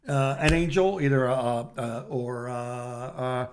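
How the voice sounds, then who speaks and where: A man chatting casually as a podcast co-host, rambling and halting as he searches for his words. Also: medium pitch, noisy background. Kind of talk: casual chat.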